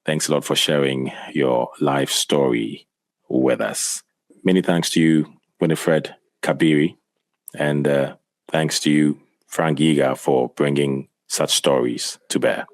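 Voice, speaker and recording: Very deep-voiced male news host. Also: steady tone, slow, no room sound. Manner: smooth, courteous. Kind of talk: broadcast interview hosting.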